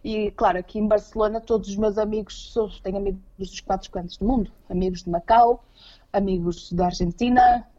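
Portuguese woman chatting in an interview, relaxed warm tone, stumbles over words a bit, kinda dull lofi audio. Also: mid-range voice, a little fast. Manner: hesitant, repeats words while searching for phrasing. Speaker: interview guest living abroad. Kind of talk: casual conversational interview.

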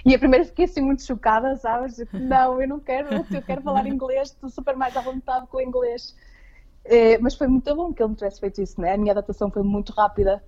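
A woman's voice: high voice, fairly even intonation, fast, warm tone, muffled recording. A woman with a Portuguese accent talking casually as an interview guest, often hesitating and repeating words as she searches for phrasing. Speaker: interview guest living abroad.

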